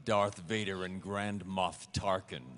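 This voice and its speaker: A man reading dramatic scripted narration live, with a gravelly voice. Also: low-pitched, flat delivery, slow pace, a bit bright. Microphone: table microphone. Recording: echoey convention hall.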